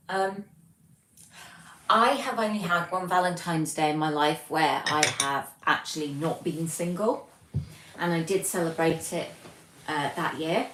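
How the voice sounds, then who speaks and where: A young British woman hosting a livestream from her flat, reading out chat messages and rambling casually with lots of ums. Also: lowish voice. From Britain. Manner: chatty, rambling, many ums. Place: home, flat. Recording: echoey room, distant mic, faint noise.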